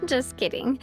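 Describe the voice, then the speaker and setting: An actress playing a warm, resilient young woman who leads an organization in an anime video game, earnest and lightly playful. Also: extremely high pitch, heavily acted, poor audio. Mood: playful, modest. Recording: music behind the voice.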